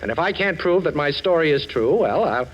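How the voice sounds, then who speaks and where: An American actor playing a cocky, wisecracking ex-thief turned detective in a 1940s radio drama. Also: male voice, on the high side, lively intonation, a little fast, dull audio. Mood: wisecracking, insistent. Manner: wisecracking, breezy, self-assured. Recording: old radio recording, faint noise.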